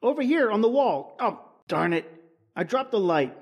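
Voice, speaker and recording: A man's voice: sits high, sing-song, moderate pace, slightly rough, exaggerated voice. Eager, reckless young expedition hand acted by a man, swooping intonation. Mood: stubborn, excited. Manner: folksy, exclamatory, hesitant. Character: Abe, eager, reckless male junior expedition member. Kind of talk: old-time radio horror acting.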